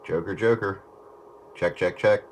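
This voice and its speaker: A man's voice: sits low, steady tone, unhurried. A man giving short, casual calls as an operator over two-way radio. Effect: two-way radio transmission. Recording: faint radio voice under loud static.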